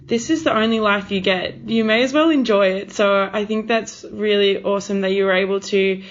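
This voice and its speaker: Young woman interviewer, mid-pitched, lo-fi sound. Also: fairly even intonation, a little fast. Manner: affirming, informal, conversational. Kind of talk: casual interview chat. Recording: dull, lo-fi audio.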